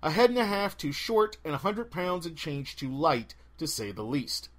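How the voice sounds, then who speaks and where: A man reads an adventure story aloud as narrator. His voice is slightly high and very animated in pitch, with a theatrical, wry delivery. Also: normal speed. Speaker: audiobook narrator. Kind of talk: animated scripted fiction narration.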